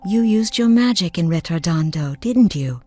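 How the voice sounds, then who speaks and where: A woman playing a tender, caring mother in a video game cutscene, gentle and soothing. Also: on the low side, expressive, normal speed, faint music behind, natural voice. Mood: concerned, reassuring.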